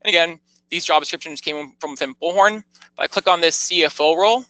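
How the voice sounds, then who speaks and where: Bright-voiced man giving a software walkthrough. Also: on the high side, brisk, no room sound, low-quality recording. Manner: explanatory, step-by-step, informal. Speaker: software product demonstrator. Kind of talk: conversational product tour narration.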